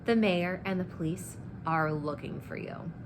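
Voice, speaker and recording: A woman's voice: fairly low pitch, a little slow. A woman voicing a frank, inquisitive little girl from a children's book, plain-spoken and questioning. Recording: clear background noise.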